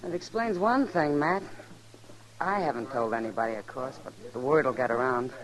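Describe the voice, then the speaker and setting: A woman's voice: very deep voice, normal speed, poor audio. An actress playing a worldly, frank American saloon woman in a radio Western, with a direct, confiding manner. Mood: urgent, confiding. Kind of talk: old-time radio Western drama acting. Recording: saloon crowd murmur behind.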